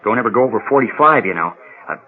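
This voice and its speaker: An actor playing a polite, hesitant, earnest young small-town coach in a radio drama. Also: male voice, medium pitch, animated, racing, breathy edge, phone-quality sound. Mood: earnest, friendly. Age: young adult.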